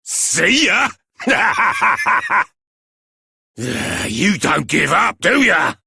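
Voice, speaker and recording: An actor playing a cheeky, roguish bandit boss in a video game, with a loud, raspy Cockney voice. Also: male voice, high voice, big pitch swings, drawn-out words, no room sound, clean studio sound, heavily acted. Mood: taunting. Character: cheeky roguish bandit boss, slippery and pleading when cornered. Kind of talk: video game character acting.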